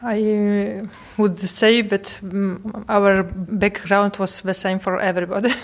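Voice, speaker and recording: A woman in her forties from Poland, with a Polish accent, answering interview questions in a hesitant, halting way and searching for English words. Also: medium pitch, flat delivery. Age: 40s. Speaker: artist guest.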